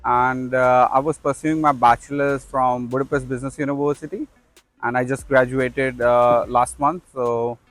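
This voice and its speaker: A man's voice: medium pitch, steady tone, moderate pace, fairly bright tone, faint music behind. A man who recently graduated, working as an intern and speaking hesitantly with many fillers.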